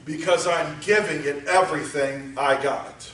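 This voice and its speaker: A man preaching a sermon, moving from quiet teaching up to shouted exhortation. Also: a little high, a little slow, slight background noise. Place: church sanctuary. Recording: echoey church hall, voice from far off.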